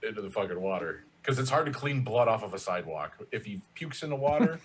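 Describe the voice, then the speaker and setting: A man's voice: lowish voice, lively intonation, fast talker, a little hiss or hum. A man playing a tabletop game, chatting casually and bluntly about his character's actions. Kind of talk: casual in-character play chat.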